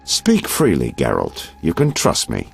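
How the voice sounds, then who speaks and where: A seasoned detective in a fantasy game. The voice is extremely deep and gravelly, with big swings in intonation. He sounds self-assured and condescending. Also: wildly swooping pitch, a little slow, soft background music, full character voice. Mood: reassuring. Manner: confident, condescending. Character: self-assured seasoned male detective, smooth-talking and secretive. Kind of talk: video game voice acting.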